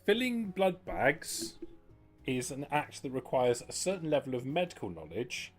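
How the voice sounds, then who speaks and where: A man's voice: medium pitch, wildly swooping pitch, normal speed, soft background music. A man working as game master of a tabletop game, with precise, formal wording.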